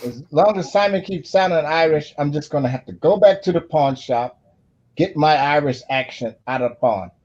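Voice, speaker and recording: Folksy American male, fairly high voice, casual joking delivery over a dull-sounding online call. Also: animated, normal speed, a little mellow. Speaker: chat participant. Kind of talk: casual group chat.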